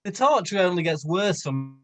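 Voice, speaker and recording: Higher-voiced man, animated comedic story reader. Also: moderate pace, faint music behind. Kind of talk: comedic dramatic read-aloud of a story.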